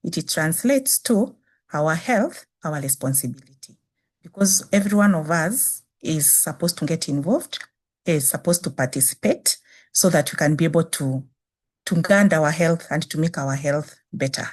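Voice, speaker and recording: A woman with a Kenyan accent, a native Swahili speaker, giving a measured webinar presentation on nursing with frequent restarts. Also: low-pitched, lively intonation. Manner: measured, repeats phrases with restarts. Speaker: guest speaker, nursing dean.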